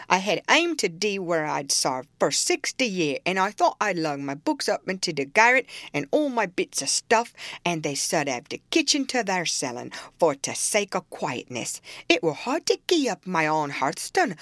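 A woman acts out an old Yorkshire manservant from a storybook. He is plaintive and indignant, his voice pitched up into a wail as he nurses his grievance. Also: big pitch swings, moderate pace, fairly bright tone, no room sound, clean studio sound. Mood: aggrieved, plaintive. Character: aggrieved, lamenting old male Yorkshire servant.

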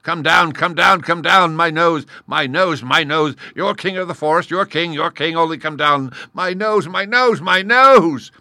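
A man's voice: on the high side, unhurried, hardly any pauses, bright, forceful tone, no echo, clean studio sound, pitched above the performer's own voice, exaggerated voice. Blustering, roaring storybook lion king. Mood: agonized pleading. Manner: roaring, bombastic, dramatic. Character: proud, blustering, furious great lion king. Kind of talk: animated children's storybook voice.

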